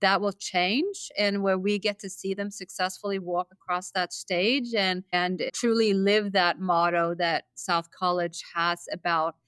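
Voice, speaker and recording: A man in his fifties from Norway, a college dean, speaking in a measured way with dry humor in a casual interview. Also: high-pitched, level tone, pushed and bright, clean tone, no echo. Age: 50s. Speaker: dean of academics.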